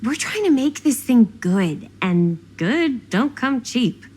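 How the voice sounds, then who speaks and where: An actress playing a plucky young amateur filmmaker in a video game drama, talking in a casual, playful, upbeat way. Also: female voice, on the high side, big pitch swings, takes it slowly, a little mellow, breathy edge, full character voice. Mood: persuading. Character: plucky, joking teenage girl filmmaker.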